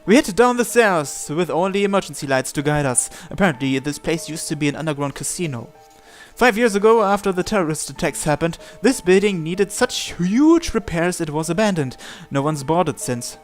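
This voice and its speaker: A man's voice: on the high side, animated, moderate pace, faint music behind. A man reading visual novel narration and dialogue aloud.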